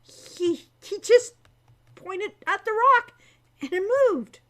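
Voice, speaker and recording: A woman voicing a scornful, excitable teenage boy in an audiobook, taunting and melodramatic. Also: extremely high pitch, takes it slowly, pitched above the performer's own voice, exaggerated voice. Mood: stunned. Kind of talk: audiobook character voice.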